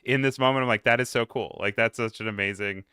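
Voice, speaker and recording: A man's voice: medium pitch, steady tone, fast talker, sharp tone, smooth, dry recording, clean studio sound. A man hosting a live stream, chatting in an upbeat, casual way and running through the schedule.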